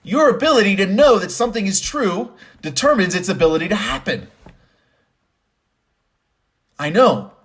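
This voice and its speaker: A man's voice: high voice, very animated, a little fast, fairly bright tone. A man giving conversational motivational commentary with personal stories, stressing points by repeating phrases. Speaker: host and commentator.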